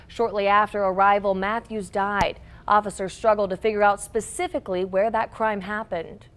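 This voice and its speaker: A woman's voice: mid-range voice, level tone, moderate pace, a bit bright, clean tone. A woman working as a news anchor, reading scripted local news stories.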